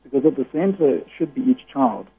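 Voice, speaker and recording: Radio guest on the phone: a loud-voiced man, very husky, earnest and explanatory, tinny line. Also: mid-range voice, lively intonation, fairly quick. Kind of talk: radio interview over the phone.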